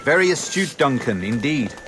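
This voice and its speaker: An actor playing a refined, ruthless British naval captain in a video game, speaking in a clipped, formal, haughty way. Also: male voice, medium pitch, sing-song, normal speed, background noise, natural voice. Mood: dry. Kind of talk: video game cutscene acting.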